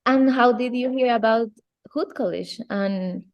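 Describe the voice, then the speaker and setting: A woman's voice: fairly high pitch, measured pace, a little mellow. A woman interviewing a student, asking friendly, curious questions.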